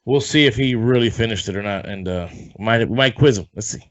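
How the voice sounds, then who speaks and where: Low-voiced man hosting a casual podcast. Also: fast talker. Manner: rambling, loose, swears casually. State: losing his voice, feeling sick. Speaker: podcast host. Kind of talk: casual sports podcast chat. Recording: dull lo-fi sound.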